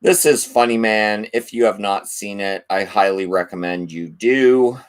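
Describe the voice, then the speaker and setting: A man hosting a casual video channel, reading DVD back covers aloud and chatting about his collection. He says he is losing his voice, and his asides are low-key and offhand. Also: measured pace, clear, resonant tone. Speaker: channel host.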